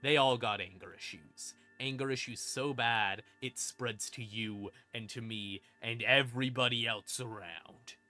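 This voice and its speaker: Slow-talking man with a bright, forceful voice. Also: mid-range voice. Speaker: streamer. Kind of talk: casual explanatory talk on fantasy game lore. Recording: music behind the voice. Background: creepy ambient music.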